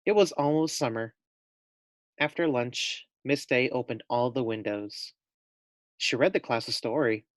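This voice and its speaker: Slow-paced man reading a storybook aloud. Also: mid-range voice, no echo. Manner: slow, simple phrasing, addresses children directly. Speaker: teacher reading aloud to first graders. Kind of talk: expressive storybook read-aloud with casual asides to young listeners.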